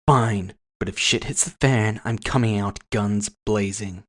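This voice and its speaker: A man's voice: on the low side, measured pace. A man voicing a fierce tusked woman fighter in audio fiction, blunt and aggressive. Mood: angry, frustrated.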